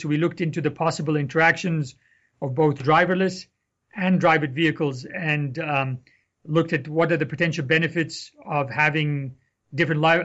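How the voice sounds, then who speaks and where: Man explaining, slightly high, dull lo-fi sound. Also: fairly bright tone. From Egypt. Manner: careful, explanatory, restarts phrases. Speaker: guest researcher. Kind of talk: podcast interview answers.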